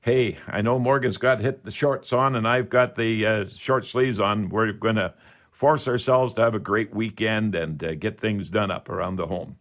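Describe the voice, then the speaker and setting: A man's voice: fairly low pitch, steady tone, normal speed, a bit bright. Older man, folksy radio host. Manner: folksy, chatty, rambling with old-fashioned expressions. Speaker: radio call-in show host. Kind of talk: casual home-improvement call-in radio talk.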